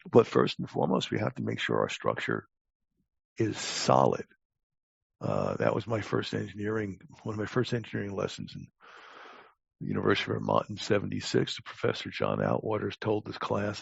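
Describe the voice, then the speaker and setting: A man in his sixties speaking casually as an expert guest in an interview, halting but opinionated and plain-spoken. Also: on the low side, sing-song, gravelly, husky. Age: 60s. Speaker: guest expert, talent acquisition advisor. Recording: muffled lo-fi, roomy sound.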